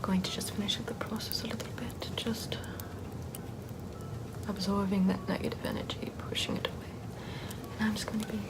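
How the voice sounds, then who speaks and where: A woman's voice: mid-range voice, steady tone, fairly quick, lightly acted, low-quality recording. A woman playing a chill, sardonic Puritan-era Salem witch in a whispery, casual ASMR roleplay. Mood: soothing, focused. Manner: hushed, often whispering, wry and casual.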